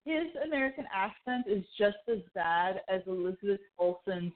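A woman's voice: mid-range voice, lively intonation, normal speed. Opinionated woman co-host, narrow phone-like sound. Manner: informal, opinionated, conversational. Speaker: podcast co-host. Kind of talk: casual opinionated chat about films. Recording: thin, phone-like band-limited audio.

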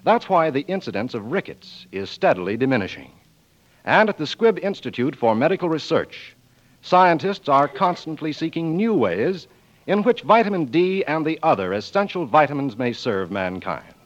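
A man's voice: fairly high pitch, sing-song, moderate pace. A man with an American accent announcing from a script in a polished, emphatic 1940s radio style. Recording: muffled 1940s radio broadcast. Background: music.

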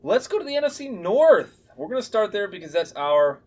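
A man's voice: high voice, sing-song, fairly quick. Blunt, joking man co-hosting a podcast. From Chicago area. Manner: blunt, opinionated, swears and jokes. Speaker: podcast co-host. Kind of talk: casual sports chat.

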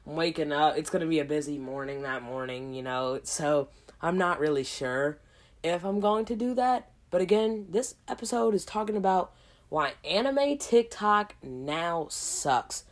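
A woman hosting a casual solo podcast, hoarse from a cold, rambling in a slangy way with lots of asides. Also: deep voice, lively intonation, measured pace. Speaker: podcast host.

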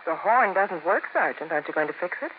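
A woman's voice: on the low side, expressive, quick pace, phone-quality sound, close to an everyday voice. A woman playing a practical, affectionate sergeant's wife, earnest and coaxing, in a 1950s radio skit. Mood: earnest, persuasive. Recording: thin, noisy 1950s radio recording.

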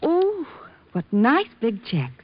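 A teasing, jealous American sweetheart in an old radio drama. Her delivery is coy and arch, with very animated pitch. The recording is dull and lo-fi. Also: slow pace, exaggerated voice.

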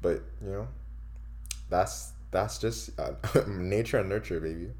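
A woman co-hosting a podcast, speaking quickly and sometimes mumbling in casual chat. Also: extremely low pitch, dark tone. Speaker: podcast co-host.

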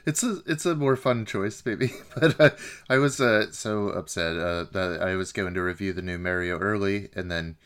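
A man with a low voice talks casually as a podcast co-host. His phrasing is hesitant and comes in starts and stops, as in an unscripted film chat. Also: moderate pace, a bit bright, clear, resonant tone.

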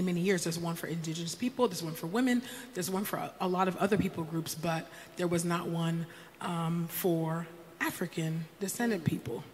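A woman speaking as a panelist, conversational with wry asides. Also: lowish voice. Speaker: panelist and advocate. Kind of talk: panel discussion. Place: conference hall stage. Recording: echoey hall, faint noise.

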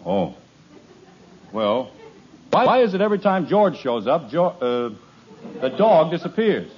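A man's voice: on the high side, very animated, a little slow, a little hiss or hum. An actor playing a harried suburban father who is sarcastic and exasperated, in 1950s radio comedy. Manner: exasperated, wry. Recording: muffled old radio broadcast.

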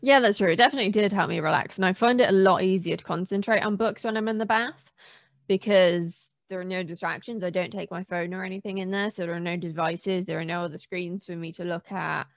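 A woman with a fairly low-pitched voice co-hosts a podcast. She talks in a casual, conversational way, recorded close and dry with very little room sound. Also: fairly even intonation, quick pace, gravelly, no room sound. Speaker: podcast co-host.

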